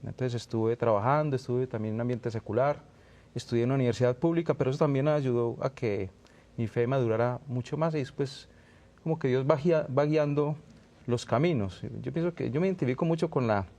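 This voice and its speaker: A man's voice: mid-range voice, a little fast, warm tone. A man in his thirties with a Colombian accent from Antioquia, a priest giving reflective, searching answers in an interview.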